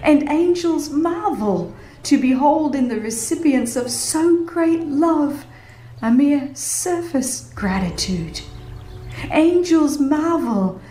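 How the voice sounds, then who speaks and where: A woman reading a religious book as a dramatized audiobook, with an earnest, measured and formal delivery. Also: sits high, lively intonation, mellow. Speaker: audiobook narrator. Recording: roomy reverb, faint background music. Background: faint music.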